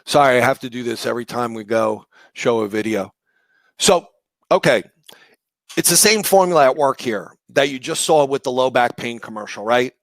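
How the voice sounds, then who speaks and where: A man with a slightly raspy, hoarse voice presenting a live online marketing session, conversational and explanatory with rhetorical questions. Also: medium pitch, lively intonation, normal speed, dry recording. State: hoarse from shouting the day before.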